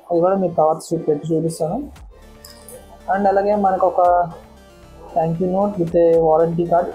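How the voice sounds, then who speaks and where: Casting: female vlogger, lowish and very mellow voice, casual unboxing style, patient step-by-step explaining. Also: low-quality recording. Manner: explanatory, step-by-step, repeats words. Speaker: motorcycle vlogger and product reviewer.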